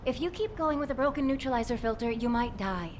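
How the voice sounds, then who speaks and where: An earnest, protective technical expert voiced by a woman in a video game, with a clearly high voice. She explains things urgently and precisely, over noticeable rumbling background noise. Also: animated, fast, natural voice. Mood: grave, insistent. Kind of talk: dramatic video game voice acting.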